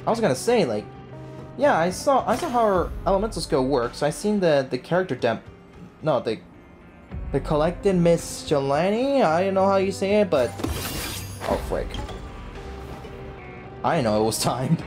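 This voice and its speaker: Low-voiced, animated woman gamer. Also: normal speed, pauses often. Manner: slangy, reactive, talks to self and viewers. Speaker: gaming streamer. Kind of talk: casual gameplay commentary. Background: game music.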